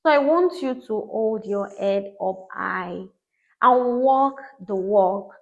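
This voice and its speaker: A woman's voice: medium pitch, animated, slow pace, gravelly, dull audio. A woman hosting her own podcast, giving an earnest, encouraging reflective talk with emphatic repetition. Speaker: podcast host.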